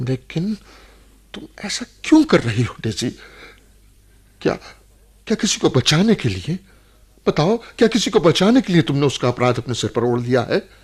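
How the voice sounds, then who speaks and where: An actor playing an earnest, probing playwright visiting a prison in a radio drama, speaking with emotion, insistence and dramatic pauses. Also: male voice, on the high side, sing-song, a little mellow, slightly rough. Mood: agitated, insistent.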